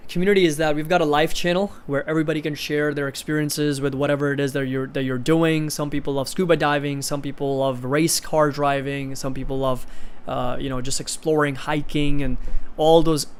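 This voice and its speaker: A man's voice: on the high side, level tone, a little fast, few pauses, clean tone. A man in his twenties from India, hosting a livestream and casually chatting as he answers viewers' comments. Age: 20s. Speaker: livestream host of an investing channel.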